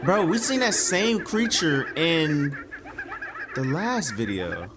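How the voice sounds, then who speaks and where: A man's voice: fairly high pitch, big pitch swings, slow pace, noisy background. A man giving casual reaction and commentary as a video host, speaking in an informal, slangy way.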